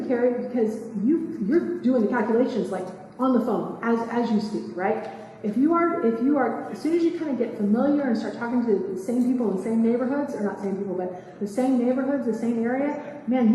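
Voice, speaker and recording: A woman, giving a quick, chatty seminar talk and asking the room questions. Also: fairly high pitch, heavy reverb, big-room sound, recorded from a distance, rough recording. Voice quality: soft voice. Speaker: real estate investing speaker. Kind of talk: live seminar talk to a small audience. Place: meeting room, indoors. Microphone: far camera.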